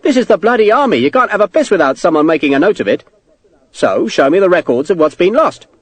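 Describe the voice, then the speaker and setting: A man's voice: sits high, very animated, fast, no echo, pitched above the performer's own voice. A man playing a tough, impatient British army ensign in an audiobook, blunt and colloquial. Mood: blunt, exasperated. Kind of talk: audiobook character acting.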